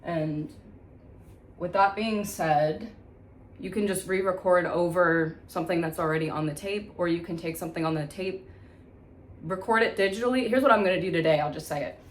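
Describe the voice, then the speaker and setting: A woman's voice: lowish voice, normal speed. A woman giving a casual, chatty hands-on tutorial, informally thinking aloud as she goes. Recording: echoey room, faint background noise.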